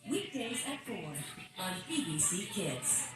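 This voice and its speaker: A woman's voice: medium pitch, sing-song, slow. A woman reading scripted promo narration as a television channel announcer. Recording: echoey, distant, loud music underneath. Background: loud promo music.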